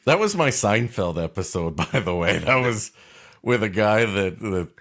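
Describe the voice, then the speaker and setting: A low-voiced man drops dry wisecracks into a comedy podcast, speaking slowly. His pitch still swings widely when he gets going. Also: big pitch swings, a bit bright. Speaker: co-host.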